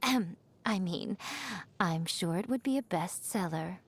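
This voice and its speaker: A woman voicing a sly, elegant fox shrine maiden for a video game, poised and coy, with a teasing, wryly condescending manner and drawn-out phrasing. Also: on the low side, expressive, breathy edge, full character voice. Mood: playfully teasing. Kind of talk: scripted video game character voice lines.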